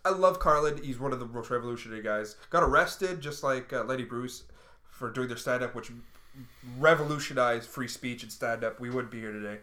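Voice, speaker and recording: A man, a comedian co-hosting a casual chat, blunt and teasing with dark jokes. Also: mid-range voice, fairly quick. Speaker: comedian and podcast co-host.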